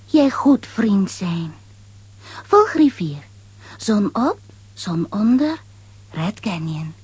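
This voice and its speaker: A woman's voice: medium pitch, sing-song, drawn-out words, a little mellow, husky, dry recording, heavily acted. A woman playing a sweet, naive young woman who speaks in broken sentences in a children's audio play. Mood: naive, solemn.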